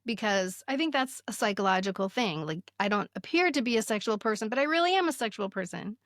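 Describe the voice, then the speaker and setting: A woman's voice: medium pitch, animated, fast, a bit bright, dry recording, studio quality. A woman in her fifties with an American accent, chatting and reading aloud casually as a podcast co-host.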